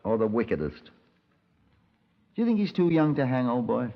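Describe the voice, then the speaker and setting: An actor playing an impatient, sardonic police detective in a 1950s radio crime drama, clipped, dry and dramatic. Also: male voice, medium pitch, big pitch swings, normal speed, over loud music. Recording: dull, band-limited 1950s radio recording.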